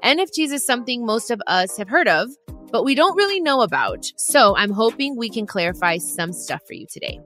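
A woman's voice: on the high side, sing-song, fairly quick, sharp tone, faint music behind. Bubbly, enthusiastic woman podcast host. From Mexico. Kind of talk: casual interview chat.

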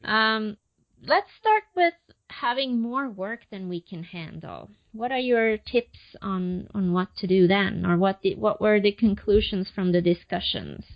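A woman's voice: mid-range voice, a little slow, gravelly, muffled recording. A woman hosting a podcast, interviewing a guest in a friendly, chatty way. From United States. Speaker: podcast host.

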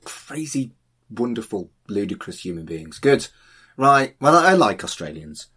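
A man's voice: fairly low pitch, very animated, unhurried, pushed and bright, slightly rough. A man in his forties hosting a podcast interview, enthusiastic and playful. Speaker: podcast host. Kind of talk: casual interview.

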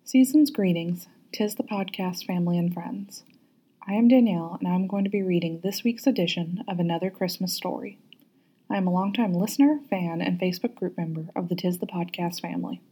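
A woman narrating a story aloud as a guest reader, with an expressive, teacherly way of addressing listeners. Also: fairly low pitch, brisk, husky.

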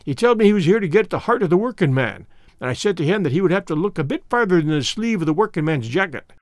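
A blunt older Scottish labour radical speaks in thick dialect, as a male reader puts him on. His voice sits high and swings widely. The recording is dry and close. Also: lively intonation, fast, no room sound. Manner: broad dialect, forceful and opinionated. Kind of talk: audiobook character voice.

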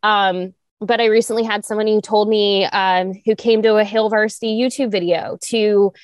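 A young woman co-hosting a talk show, speaking candidly with vocal fry, lots of fillers, and sharp jumps up in pitch when she makes a point. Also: moderate pace, no room sound, clean studio sound. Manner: animated, restarts and fillers, rises high when emphatic. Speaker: podcast co-host. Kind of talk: candid conversational chat.

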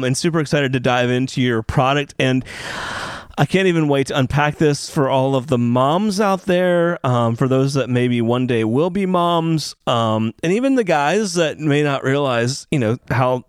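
A man's voice: mid-range voice, no room sound, clean studio sound. A man hosting a podcast interview, speaking enthusiastically with lots of fillers and restarts. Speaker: podcast host.

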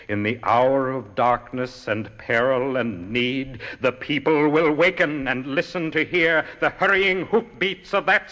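A man gives a solemn, theatrical dramatic poetry recitation with measured phrasing. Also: mid-range voice, fairly bright tone. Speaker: poetry reader. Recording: long hall reverb.

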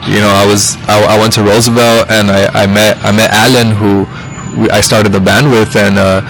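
A man's voice: lowish voice, flat delivery, moderate pace, fairly bright tone, soft background music, low-quality recording. A man in his thirties, a musician guest on a podcast, talking casually and rambling with lots of fillers and restarts. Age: 30s. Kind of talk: casual interview chat.

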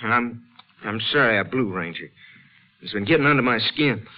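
An actor playing a defensive, scheming small-town constable in a 1950s western radio drama. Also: male voice, on the low side, lively intonation, moderate pace, close to an everyday voice. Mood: grudging. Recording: dull old 1950s radio recording.

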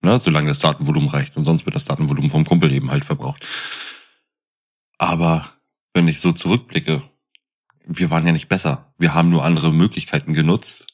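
A man's voice: extremely low pitch, steady tone, a little fast. A man chatting casually as a podcast co-host, explaining and asking probing questions.

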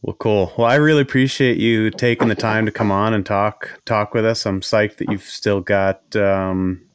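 A man with a New England accent hosts a casual podcast conversation. His voice sits very low, with a faint nasal whine. He rambles and restarts often in a dry, close recording. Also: fairly even intonation, normal speed, clear, resonant tone, no room sound. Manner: rambling, hesitant, many restarts. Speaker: podcast host. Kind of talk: casual interview chat.

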